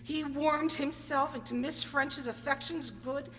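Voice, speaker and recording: A woman's voice: high-pitched, fairly even intonation, a little slow, fairly bright tone, faint music behind. A woman playing a resentful older maid in a radio drama, emphatic and disapproving. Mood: indignant. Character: resentful older female maid and companion, indignant. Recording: noisy, reverberant hall recording.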